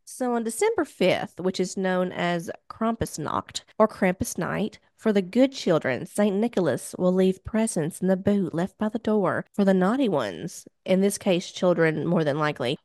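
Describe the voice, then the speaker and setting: A woman's voice: fairly low pitch, lively intonation, moderate pace, few pauses, a little mellow, no echo, clean studio sound. An American woman hosting a podcast, telling spooky legends in a casual, joking, profane way with plenty of banter.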